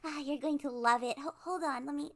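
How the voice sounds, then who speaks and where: A woman's voice: high voice, a little fast, a little hiss or hum. A woman playing a cute, nervous, obsessive young female kidnapper in an ASMR roleplay, stammering and giggly as she talks. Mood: giddy, sweetly menacing.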